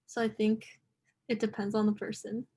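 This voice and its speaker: A teenage girl, a high school senior, talking casually with many likes as a panelist on a video call. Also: completely flat delivery, moderate pace, warm tone, husky. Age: teens. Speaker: student panelist.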